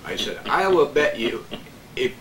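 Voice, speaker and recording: An older man telling stories in a casual chat with a halting, hesitant delivery. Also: mid-range voice, sing-song, a bit bright. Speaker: veteran radio man as guest. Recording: distant echoey room with faint noise.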